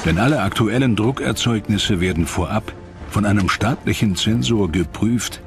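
A man narrating a documentary from a script in a measured, formal, dramatic way. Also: on the low side. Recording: clear music bed behind voice.

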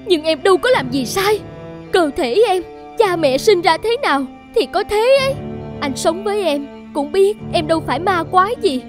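An actress playing a wronged, strong wife in a cartoon fairy tale, speaking in a plaintive, emotional way. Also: female voice, extremely high pitch, animated, normal speed, over music. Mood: hurt, pleading. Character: wronged, pleading strong wife with fish scales, later haunting ghost. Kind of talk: Vietnamese cartoon voice acting.

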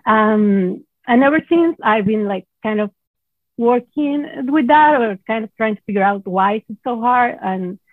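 A woman from Venezuela, a native Spanish speaker, chatting casually and haltingly as a self-conscious coaching client. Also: fairly high pitch, lively intonation, no room sound. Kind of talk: casual personal chat. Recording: muffled, dry remote-call sound.

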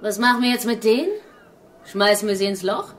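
An actress playing a callous, scornful member of a criminal gang in a radio drama. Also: female voice, mid-range voice, steady tone, a little fast, a little hiss or hum, natural voice.

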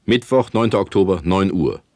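An actor playing a grave documentary narrator in a radio comedy, solemn, ominous and deadpan with weighty pauses. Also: male voice, sits low, no echo, studio quality. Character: grave male documentary narrator chronicling absurd small-town disasters. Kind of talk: scripted mock-documentary narration.

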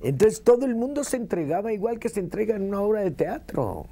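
A man's voice: high-pitched, big pitch swings, quick pace, mellow. A man, a veteran radio actor, reminiscing emphatically in an interview and repeating phrases for effect.

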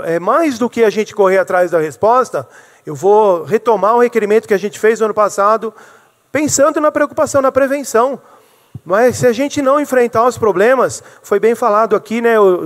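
A man in his forties with a notably high voice gives an earnest speech from a podium microphone in a council chamber. He asks himself rhetorical questions and restarts phrases as he goes. The hall leaves a long reverb behind every line. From Brazil. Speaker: city councilman.

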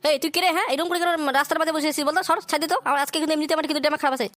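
Man putting on a very high-pitched, whiny loafer son. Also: fairly even intonation, rapid-fire, pushed and bright, dry recording. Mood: grumbling. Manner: whining, foul-mouthed, grumbling. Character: idle, wheedling grown son, village loafer. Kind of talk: crude adult comedy cartoon dubbing.

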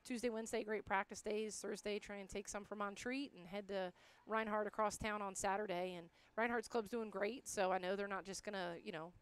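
A woman's voice: medium pitch, fairly even intonation, brisk, clear, resonant tone. A woman in her thirties speaking as a coach in a postgame interview on a headset mic, upbeat and colloquial. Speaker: college softball head coach. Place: indoors in front of a backdrop.

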